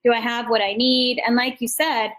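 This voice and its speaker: Encouraging woman with a higher-pitched voice. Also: flat delivery, normal speed, fairly bright tone. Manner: encouraging, effusive, conversational and rambling. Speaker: host and founder speaking at an online summit panel. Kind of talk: informal motivational panel talk with personal anecdotes.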